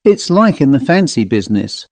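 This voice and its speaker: A man's voice: mid-range voice, big pitch swings, normal speed, a little mellow, no echo. Man acting a lean, musing elderly traveller. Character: lean elderly male traveller, resigned, chatty.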